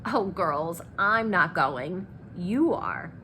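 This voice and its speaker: A woman's voice: on the low side, animated, extremely slow, bright, forceful tone, full character voice. A woman voicing a cocky, greedy man, a boastful storybook giant killer with a breezy, persuasive way of talking. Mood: confident. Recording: clear background noise.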